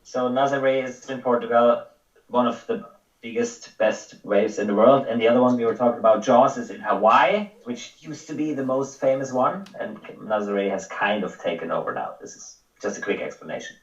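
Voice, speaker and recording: A male host running a casual interview, friendly, laughing easily, and pausing to explain things for viewers. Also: medium pitch, reverberant, distant mic, dull audio.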